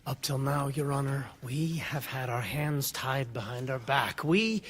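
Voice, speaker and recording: An actor playing a grave government attorney who insists on secrecy and stresses every word. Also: male voice, mid-range voice, unhurried, fairly bright tone, breathy edge. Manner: grave, emphatic about secrecy. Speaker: government lawyer.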